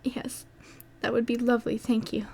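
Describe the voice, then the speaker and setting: Woman acting hurt but defiant young girl in an audio drama, highish voice, earnest and emotional. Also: flat delivery, moderate pace, dark tone, husky. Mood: subdued.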